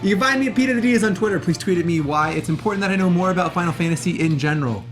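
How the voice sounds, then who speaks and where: A man with an American accent co-hosting a casual panel, talking emphatically in halting, rephrased bursts. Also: high-pitched, soft background music. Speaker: co-host of a movie review show.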